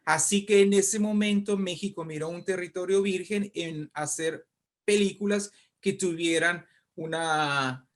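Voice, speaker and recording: Male interview guest, voice on the high side. Animated, conversational storyteller who explains and checks in. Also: measured pace. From Mexico. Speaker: interview guest, writer and poet.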